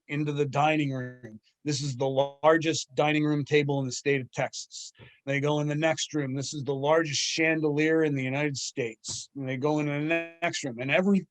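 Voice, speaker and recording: A man hosting a casual group discussion, telling a long joke with many restarts. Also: a little high. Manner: rambling storyteller with restarts. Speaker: podcast host.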